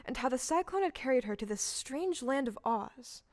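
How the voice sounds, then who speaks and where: A woman narrating a storybook tale in a scripted, measured way for an audio play performed on stage. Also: high-pitched, lively intonation, no room sound. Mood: storytelling.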